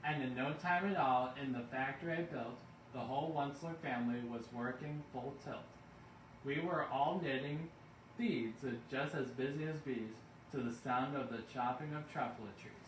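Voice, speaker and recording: A man reading a rhyming bedtime story aloud in an expressive, deliberate, measured way. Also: mid-range voice. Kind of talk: expressive rhymed storybook narration. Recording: roomy, distant mic, faint background noise.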